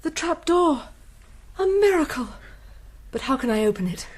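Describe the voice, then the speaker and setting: High, melodramatic young princess in distress. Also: female voice, sing-song, normal speed. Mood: desperate, hopeful. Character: distressed virtuous young princess fleeing a tyrant. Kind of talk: gothic radio drama acting.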